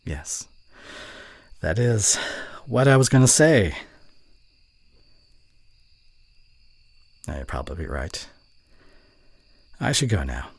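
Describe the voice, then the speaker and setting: A man acting a fond, gently teasing young college voice actor in an intimate ASMR roleplay, speaking softly and hesitantly. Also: fairly low pitch, big pitch swings, unhurried, slightly rough, dry recording, heavily acted. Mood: shy, fond. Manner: soft, hesitant, tender delivery with whispered asides.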